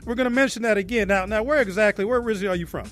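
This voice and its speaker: A man's voice: high-pitched, very fast. A man hosting a podcast interview in a laid-back way, often repeating himself. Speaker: podcast host. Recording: loud hip hop music behind. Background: hip hop music.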